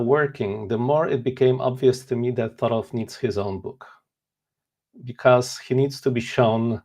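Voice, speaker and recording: A man's voice: medium pitch, a little mellow. A man in his forties from Poland, a native Polish speaker, talking earnestly and candidly as an author guest in an interview. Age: 40s. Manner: earnest, candid, self-deprecating. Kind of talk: casual interview chat.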